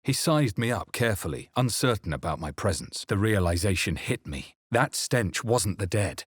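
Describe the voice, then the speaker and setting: A grave fantasy rpg hero, husky low voice, really expressive and dramatic. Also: male voice, no room sound, clean studio sound. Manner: grave, deliberate, theatrical delivery. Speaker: video game player-character voice actor. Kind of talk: dramatic scripted game narration and voice lines.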